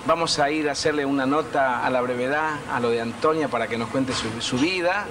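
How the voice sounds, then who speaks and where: A man with a Rioplatense accent hosting a TV interview, guiding the guest with measured remarks. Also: mid-range voice. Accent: Rioplatense Spanish. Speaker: program host. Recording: distant mic with noticeable noise on old video.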